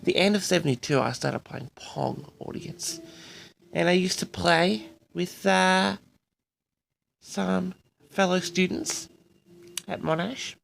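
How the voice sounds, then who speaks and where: A man in his sixties from Australia hosting a personal audio log, reminiscing in a rambling, halting and boastful way straight to his listeners. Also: high-pitched, fairly even intonation, gravelly, a bit bright, slight background noise. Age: 60s. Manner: boastful, halting, often addresses the audience.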